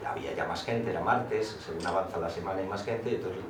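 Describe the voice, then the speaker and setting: An older man with a Castilian Spanish accent speaks in a low, deep register. He has the reflective, wandering manner of a poet telling stories. The recording is echoey and noisy, as if picked up from across a room. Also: fast talker, far from the mic. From Asturias, Spain, in Madrid from age eight. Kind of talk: conversational storytelling with recited verse. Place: school classroom, talk to teachers.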